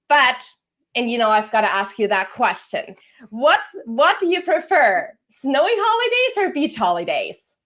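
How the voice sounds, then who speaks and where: A woman's voice: fairly high pitch, a bit bright. A woman from Germany, a career coach, hosting a live-streamed interview with an upbeat, welcoming manner. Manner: upbeat, welcoming, scripted-sounding intro.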